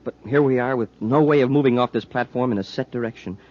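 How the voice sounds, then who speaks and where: An actor playing an eager, boyish sidekick, excitable and deferential, in an old-time radio space serial. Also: male voice, mid-range voice, fast, close to an everyday voice. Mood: dismayed. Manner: excitable, gee-whiz, deferential. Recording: dull, noisy old radio recording.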